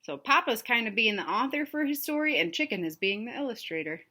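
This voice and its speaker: A woman reading a storybook aloud for children with expressive narration and chatty asides to her young listeners. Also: a little high, brisk. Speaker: read-aloud host for children.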